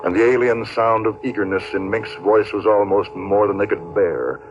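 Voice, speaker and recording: An actor with an American accent playing a grave, suspenseful narrator of an eerie 1950s radio drama. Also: male voice, on the low side, normal speed, music in the background, close to an everyday voice. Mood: ominous. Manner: dramatic, ominous. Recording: noisy, dull 1950s radio recording.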